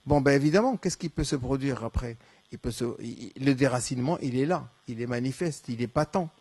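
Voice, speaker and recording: A man from Morocco, Darija his mother tongue, answers audience questions at a conference. He speaks in a hesitant, reflective way, full of fillers and restarts, at a middling pitch. The sound is dry and close. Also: fairly quick, darkish tone, breathy edge, no echo. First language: Moroccan Arabic (Darija). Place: lecture hall.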